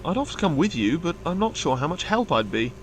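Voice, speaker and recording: A man's voice: fairly high pitch, very animated, fast, a little hiss or hum. A man playing a polite, bookish nonhuman crewmate in a science-fiction audio drama, speaking formally and precisely. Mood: polite, concerned.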